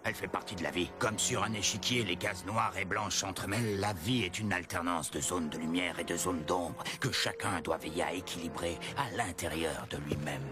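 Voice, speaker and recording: An actor playing a wise, grave old blacksmith in a cartoon, speaking in a slow, philosophical manner. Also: male voice, low voice, music in the background, natural voice. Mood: solemn, wise. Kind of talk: French cartoon film dub.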